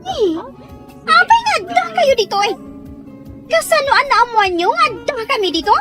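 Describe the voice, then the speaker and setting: Woman playing very high-pitched, defiant party girl. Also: very animated, normal speed, loud music behind the voice. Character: defiant, hard-partying young daughter drinking in a bar. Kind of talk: amateur audio drama acting.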